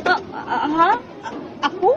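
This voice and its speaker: An actress playing a shy, lovestruck teenage high school girl in a radio drama, with a bashful manner and a Cebuano accent. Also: measured pace, muffled recording, a crowd in the background, background noise. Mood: flustered. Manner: bashful, girlish. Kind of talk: Bisaya radio drama acting.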